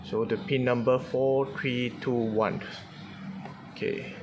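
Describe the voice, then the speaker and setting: A man with a Malaysian accent giving an informal product demonstration in short, clipped, halting phrases of simple English. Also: mid-range voice, little pitch movement. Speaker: car audio installer demonstrating a product. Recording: noisy room with clear background noise.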